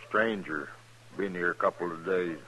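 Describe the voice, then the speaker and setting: An actor playing a folksy, wary livery stable keeper in a 1950s radio western, with a rural American accent and clipped phrases. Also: male voice, low voice, normal speed, pushed and bright. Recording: noisy old radio drama recording.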